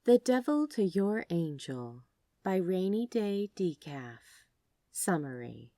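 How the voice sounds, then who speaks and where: Expressive woman narrator, very lively pitch. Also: slow, no room sound, studio quality. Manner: expressive read-aloud delivery. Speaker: podfic narrator. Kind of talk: scripted storybook narration.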